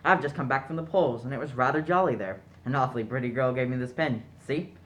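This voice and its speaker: A man's voice: mid-range voice, fast talker, fairly bright tone, natural voice. A man playing a genial, naive upper-class young suitor in a radio play, jolly and self-deprecating. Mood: cheerful, polite. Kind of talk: stage-style radio play acting.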